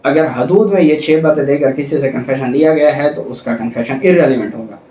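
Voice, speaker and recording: A man's voice: fairly high pitch, a little mellow. A man with a Pakistani accent giving an explanatory law lecture, didactic and repeating points for emphasis. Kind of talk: explanatory lecture, alternating reading aloud and explaining. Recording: echoey room, distant mic.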